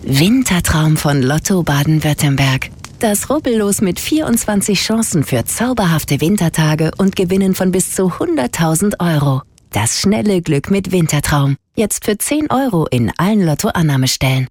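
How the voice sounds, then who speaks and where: A woman reading a lottery commercial in a calm, even voice-over. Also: lowish voice, normal speed, a little hiss or hum. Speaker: lottery ad announcer.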